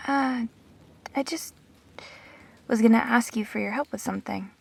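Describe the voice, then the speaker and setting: A woman playing a perceptive, teasing, supportive friend in an intimate sci-fi audio drama, expressive and blunt. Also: on the high side, normal speed, gravelly, husky. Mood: concerned.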